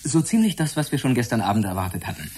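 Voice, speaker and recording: An actor playing a polite, earnest young research assistant in a German radio drama, precise and deferential. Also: male voice, medium pitch, big pitch swings, fast talker, a little mellow, husky. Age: young adult. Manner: precise, deferential, hesitant when embarrassed.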